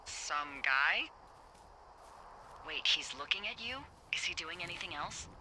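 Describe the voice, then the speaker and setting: A woman's voice: on the low side, animated, a little slow, pushed and bright, a little hiss or hum, full character voice, low-quality recording. A woman playing a wry, teasing fire lookout supervisor in naturalistic video game dialogue, playful and sarcastic. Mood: mock serious. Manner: playful, sarcastic, hesitant when earnest.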